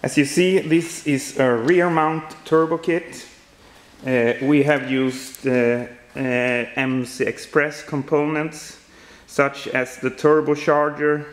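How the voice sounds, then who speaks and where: A man in his thirties with a Swedish accent, giving an informal walkaround explanation in halting, non-native English as he searches for words. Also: medium pitch. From Sweden. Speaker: home builder presenting his project. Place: indoor workshop garage.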